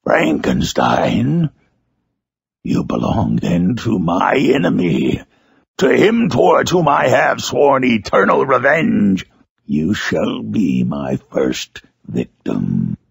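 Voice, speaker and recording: A menacing outcast creature telling his dark tale like a gothic storybook. The voice is deeply gravelly and husky, with huge swings in pitch. He speaks slowly and theatrically, brooding and bitter. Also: no echo, heavily acted. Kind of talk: dramatic first-person literary monologue.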